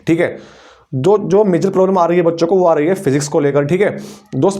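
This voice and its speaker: A man speaks in a somewhat high-pitched voice. He teaches like an exam coach, informal and instructional, dropping English terms into his sentences and regularly adding short tag questions to check in with students. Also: darkish tone.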